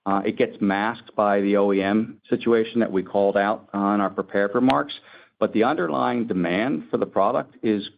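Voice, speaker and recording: Exec guy on an earnings call, lowish voice, measured and careful, dull lofi line. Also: gravelly. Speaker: company executive. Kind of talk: answering analysts on an earnings call. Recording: dull, lo-fi conference call audio.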